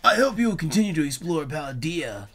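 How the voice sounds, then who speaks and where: A man reading aloud the lines of a kindly male professor from a video game, in a measured, earnest delivery. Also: fairly high pitch, sing-song, a bit bright, soft background music.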